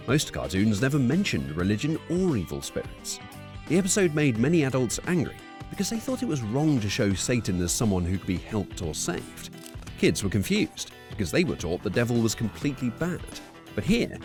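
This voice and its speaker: Guy doing scripted countdown voiceover, pitch moves around a lot, bit of music behind him. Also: a little mellow. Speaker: narrator of a nostalgia countdown video. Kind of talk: scripted narration. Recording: music under the voice.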